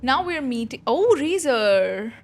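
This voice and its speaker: Female livestreamer, lightly high register, casual and chatty reaction commentary, soft music bed. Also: big pitch swings, slow pace. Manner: informal, chatty, swearing. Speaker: streamer.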